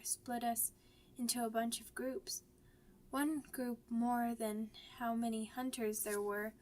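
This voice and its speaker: A woman playing a shy, grieving, vulnerable young werewolf pup in an ASMR audio roleplay, with halting, stammering, childlike speech. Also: a little high, a little hiss or hum, lightly acted.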